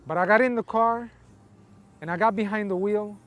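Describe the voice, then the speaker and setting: A man's voice: sits high, normal speed, a bit bright. A middle-aged man from Colombia who grew up in Texas, giving a personal testimony as an interview guest. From Colombia, raised in Houston, Texas from age eight. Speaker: interview guest telling his life story.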